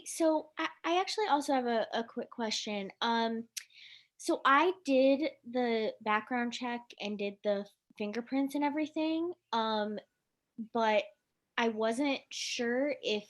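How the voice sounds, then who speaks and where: A woman on a video call asking a question softly and hesitantly. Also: on the high side. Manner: hesitant, soft-spoken. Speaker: new member asking a question.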